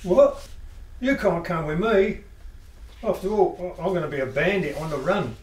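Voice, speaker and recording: A man's voice: high voice, moderate pace, far from the mic, close to an everyday voice. A man voicing a timid, hapless bank robber in an amateur radio play. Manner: hesitant, unsure. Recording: echoey room, off mic.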